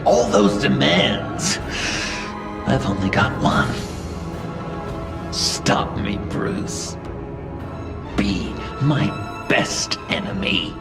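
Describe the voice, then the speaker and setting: A man's voice: lowish voice, animated, very slow, creaky, pauses often, heavily acted. An actor playing an unhinged, theatrical young male villain in a video game, obsessive and wounded, with a taunting delivery. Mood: menacing glee. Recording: dramatic music behind, roomy echo.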